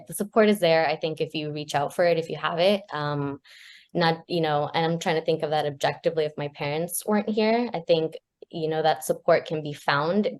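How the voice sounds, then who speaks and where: A woman's voice: low voice, a little mellow. A woman who is a graduate student researcher, talking casually on a video call with plenty of fillers and easy laughs. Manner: chatty, laughs often, many fillers. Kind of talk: casual panel chat.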